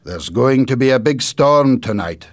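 A male narrator plays an old grandfather from a storybook, kindly and proud with a touch of sadness. His delivery is emphatic and deliberate, with lively rises in pitch. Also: lightly acted. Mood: friendly, informative. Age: older. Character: kindly older Scottish grandfather, proud and a little sad.

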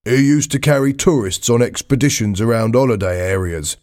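A man doing an eager, soft-hearted bloke. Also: mid-range voice, expressive, a little fast, lightly acted. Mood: hopeful.

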